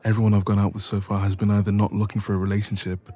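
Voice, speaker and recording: A man's voice: fairly low pitch, flat delivery, very fast, mellow, lightly acted. A man playing a bashful, evasive best friend with a secret crush in an intimate romance audio roleplay. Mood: amused, sheepish. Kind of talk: romance ASMR roleplay, intimate one-sided conversation.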